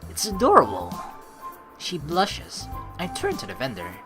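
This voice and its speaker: Low-voiced, animated woman doing game commentary. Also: unhurried. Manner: rambling, animated, informal with mild swearing. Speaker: let's play host. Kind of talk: casual game commentary and reading aloud. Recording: faint game music behind the voice. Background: game music.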